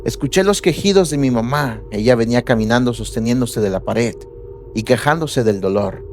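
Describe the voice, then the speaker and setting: A man narrating horror stories in a measured, somber reading style. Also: mid-range voice, expressive, a little mellow, a little hiss or hum. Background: faint background music.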